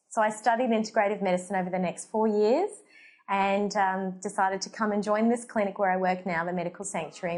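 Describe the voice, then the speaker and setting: A woman in her thirties with an Australian accent, a doctor hosting her own show, talking earnestly to camera about how she came to her work, with frequent fillers. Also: medium pitch. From Portugal. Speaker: holistic doctor, show host. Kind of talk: personal story to camera. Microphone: facing the camera.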